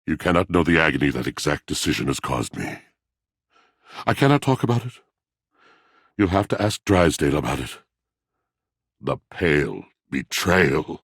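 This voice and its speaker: Super deep gloomy washing machine for a game, raspy, grandiose poet, really swoops around dramatically. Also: male voice, sing-song, moderate pace, long pauses, a bit bright, dry recording, clean studio sound, heavily acted. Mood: anguished. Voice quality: gravelly, rumbling voice. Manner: theatrical, florid, pompous, lamenting diction. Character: brooding, melancholy male washing machine, a grandiose wounded poet. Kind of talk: video game character voice acting.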